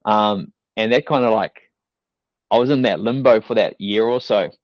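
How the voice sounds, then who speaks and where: A young man with a New Zealand accent talks through his background in a loose, rambling way, full of "like" and "you know". His voice sits low. The sound is dry and a little dull, with no room echo. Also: moderate pace. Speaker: guest, fitness coach. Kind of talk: casual podcast interview.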